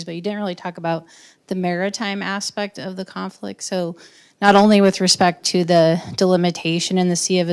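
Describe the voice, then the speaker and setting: A woman's voice: low voice, smooth. A woman in the audience asking the lecturer a detailed, articulate question. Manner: articulate, building a detailed point.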